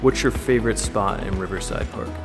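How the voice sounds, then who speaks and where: A man's voice: fairly low pitch, brisk, vocal fry, over loud music. A man giving a casual spoken demonstration as a filming instructor.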